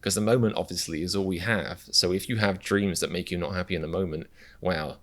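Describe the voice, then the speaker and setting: A man's voice: low-pitched, fairly even intonation, a little fast. A young British man hosting a reaction video, musing in a reflective, self-deprecating way with a lot of restarts. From Britain. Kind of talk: casual rambling commentary.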